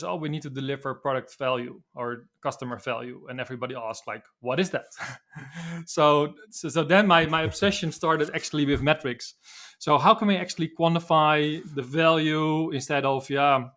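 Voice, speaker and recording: A man in his forties from the Netherlands with a Dutch accent, answering as a podcast guest in a relaxed, hesitant way with many fillers and restarts. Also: mid-range voice. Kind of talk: casual interview answers.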